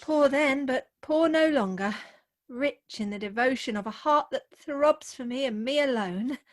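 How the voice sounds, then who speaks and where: An actress plays a young Victorian bride with a high, girlish pitch. She is sentimental and jealous, declaiming every line with mannered earnestness, as in a comic radio play. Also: measured pace. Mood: high-minded, reproachful. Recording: remote home video-call recording.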